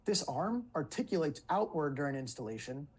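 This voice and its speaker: Husky man presenting. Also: a little high, big pitch swings, fairly quick. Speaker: company presenter. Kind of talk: scripted webinar product presentation.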